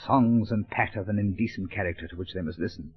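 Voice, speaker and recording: On a muffled 1930s gramophone record, a prudish English gentleman played by a male comedian addresses a public meeting. His upper-class speech is pompous, hesitant and gravely earnest, pitched lower in a comic monologue. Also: fast. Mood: scandalised.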